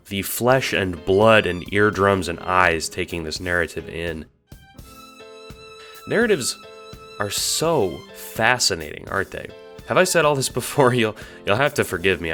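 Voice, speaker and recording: A man acting in an audio drama as a casual, wry young hero and chatty narrator, with an expressive delivery. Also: sits low, heavily acted.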